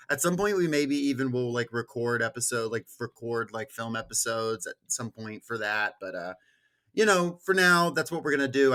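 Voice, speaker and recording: A man's voice: medium pitch, pushed and bright. A man hosting a podcast in a casual, slangy, joking way. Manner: informal, slangy, jokey. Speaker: podcast host.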